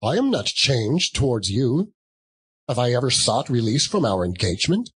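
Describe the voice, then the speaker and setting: A man's voice: mid-range voice, big pitch swings, moderate pace, fairly bright tone, husky, full character voice. A man voicing a defensive suitor in the prime of life in a storybook reading, insistent and questioning. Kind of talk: dramatic audiobook reading of a classic story.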